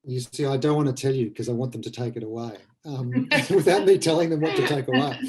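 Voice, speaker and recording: A man's voice: medium pitch, fast, crowd noise, poor audio. A man speaking as a playwright guest in a casual panel discussion, reflective and emphatic. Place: indoors, online video call.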